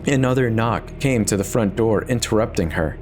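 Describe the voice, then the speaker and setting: A man's voice: lowish voice, normal speed, a little mellow, a little hiss or hum. A man giving an intense, theatrical first-person reading of a horror story as its narrator.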